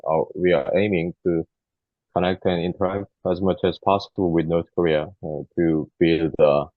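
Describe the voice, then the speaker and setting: A very deep-voiced man opens an interview, speaking hesitantly and filling his pauses with "uh". His voice comes through tinny and phone-like, with a dry, close sound. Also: fairly even intonation, dry recording. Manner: hesitant, many fillers. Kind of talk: interview introduction.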